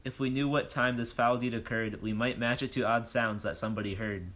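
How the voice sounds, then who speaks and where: An actor playing a bold, self-important police detective, declaiming in rhyme in a comic audio drama. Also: male voice, medium pitch, steady tone, a little fast, a bit bright, natural voice. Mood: pompous, businesslike. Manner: declamatory, questioning, speaks in rhyme.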